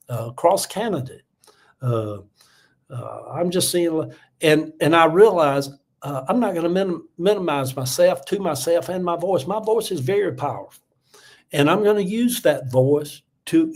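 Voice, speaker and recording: A man's voice: fairly high pitch, darkish tone. Earnest seventy-year-old man. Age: 70s. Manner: earnest, repetitive, warning tone, many fillers. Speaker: livestream host reading comments. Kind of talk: casual political livestream talk.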